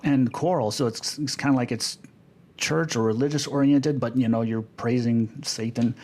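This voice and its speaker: A man's voice: mid-range voice, fairly even intonation, moderate pace, darkish tone. Conversational man as a podcast guest. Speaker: podcast guest, film composer. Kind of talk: casual podcast discussion about film music.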